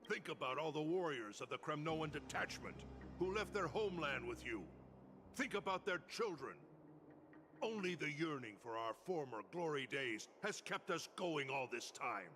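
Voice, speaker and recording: A man playing a proud, blunt old warrior in a video game, speaking in a gruff, commanding and disdainful manner. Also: a little high, lively intonation, moderate pace, sharp tone, a little hiss or hum. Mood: indignant, reproachful. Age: older. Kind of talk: dramatic video game voice acting. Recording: game music under the voice.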